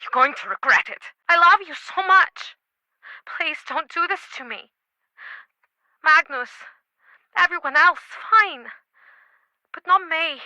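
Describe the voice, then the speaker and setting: A woman's voice: very high voice, animated, slow, long pauses, bright, forceful tone, no room sound, heavily acted, rough recording. An actress playing a guarded, heartbroken townswoman with an earnest, emotional delivery in a mystery video game. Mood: angry, pleading, then pleading. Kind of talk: dramatic video game voice acting.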